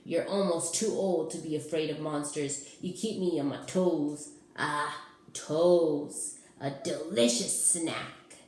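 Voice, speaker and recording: A woman's voice: low-pitched, takes it slowly. A woman doing a growling, hungry bed monster. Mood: playful. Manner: growling, playful, relaxed. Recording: roomy echo.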